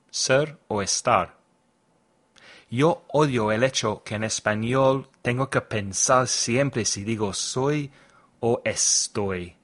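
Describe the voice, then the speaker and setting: A man hosting a language podcast, reading a scripted lesson and saying model phrases slowly and clearly for listeners to repeat. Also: mid-range voice, fairly bright tone. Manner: slow, carefully articulated model phrases for repetition. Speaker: English teacher, podcast host.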